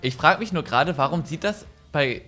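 Young man with animated pitch. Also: fairly quick. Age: young adult. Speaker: online gaming crew member reading out captions. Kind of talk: casual gaming commentary. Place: home room on a group video call. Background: background music.

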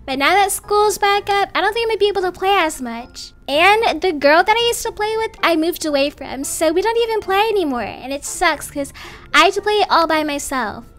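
A woman voicing a cocky but earnest popular schoolboy, slangy and insistent, in an animated roleplay story. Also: very high voice, a little fast. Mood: casual, confiding.

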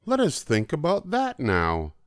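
Older man reading as a worried child, pitched up. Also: huge pitch swings, measured pace, no echo, clean studio sound. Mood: alarmed. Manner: protesting, emphatic. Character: worried, scolding child protesting the mess. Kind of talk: children's storybook character reading.

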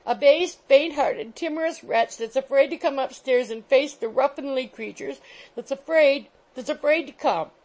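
A woman voicing an indignant, quarrelsome middle-aged landlady, in a loud scolding tirade. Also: medium pitch, sing-song, normal speed, hardly any pauses, poor audio. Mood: shrill, sobbing. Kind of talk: audiobook character voice.

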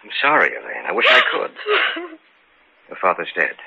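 A man's voice: sits low, huge pitch swings, gravelly, highs cut off, heavy background noise, heavily acted. An actor playing an earnest young man who recounts an eerie tale in grave old-time radio style. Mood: somber. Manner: grave, dramatic, deliberate. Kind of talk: old-time radio drama narration and acting.

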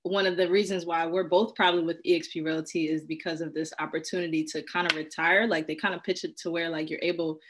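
Female interviewer, young adult, slightly low voice, informal chatty questioning on a video call. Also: level tone, fast, hardly any pauses, background noise. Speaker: interviewer, host. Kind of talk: casual interview chat. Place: indoor room at home, on a video call.

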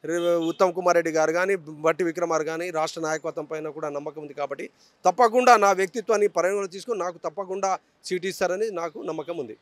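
A man answers a news interview as a political hopeful. His voice is high and he speaks quickly and emphatically, with a dry sound on a handheld mic. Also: no room sound. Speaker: politician seeking election candidacy. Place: outdoors on a city roadside. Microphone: handheld reporter's microphone.

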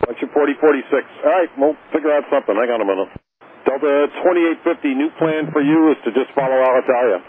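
A man's voice: medium pitch, fast, slightly rough. A man working as an air traffic ground controller, giving pilots blunt, exasperated instructions over the radio. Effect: radio transmission. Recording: tinny air traffic radio, faint static.

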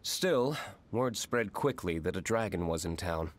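A man playing an aloof, brooding young nobleman in an anime video game, terse, formal and deadpan. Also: deep voice, measured pace, a little hiss or hum. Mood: dry, aloof.

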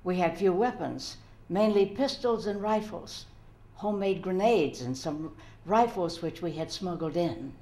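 Older woman as a resolute resistance fighter, low voice. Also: measured pace, dark tone. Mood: grave, resolute. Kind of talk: dramatic reading. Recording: roomy echo.

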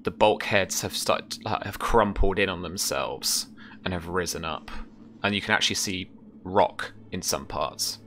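A man acts as game master, describing scenes in a measured way with trailing pauses. His voice is very deep yet bright, with slight noise around it on a video call. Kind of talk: descriptive tabletop game narration. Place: small indoor room at home.

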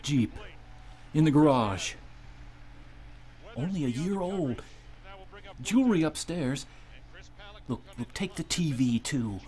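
High-pitched, flustered suburban husband. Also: male voice, lively intonation, darkish tone. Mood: frightened, pleading. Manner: halting, stammering, pleading. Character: flustered, frightened suburban husband held at gunpoint. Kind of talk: radio drama acting.

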